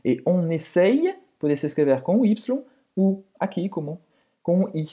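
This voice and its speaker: A man's voice: high-pitched, big pitch swings, measured pace, warm tone. A Frenchman with a French accent speaking Portuguese, co-hosting a casual language lesson with short, agreeing replies. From France. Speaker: French teacher, co-host.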